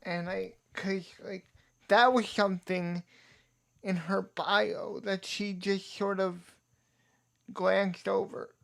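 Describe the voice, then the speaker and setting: Slow-talking man with a creaky voice. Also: high voice, flat delivery, a bit bright. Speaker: podcast guest, pop culture podcaster. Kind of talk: casual chat.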